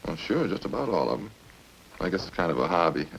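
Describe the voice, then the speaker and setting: A man's voice: low voice, big pitch swings, fast, vocal fry, exaggerated voice. A man playing a talkative, smug murder suspect in a radio crime drama, folksy and cagey as he casually needles the police. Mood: chatty, smug. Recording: hissy old radio recording.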